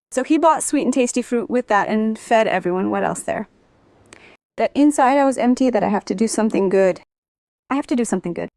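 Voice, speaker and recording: High-voiced woman recounting her life. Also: a little mellow, dry recording. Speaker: interview guest, former sprinter. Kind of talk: personal life-story storytelling.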